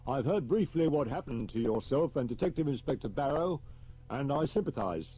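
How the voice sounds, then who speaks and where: A man voicing a blunt, grave senior police chief who speaks briskly. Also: mid-range voice, lively intonation, mellow, slightly rough, lo-fi sound, voice pushed up. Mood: grave, urgent.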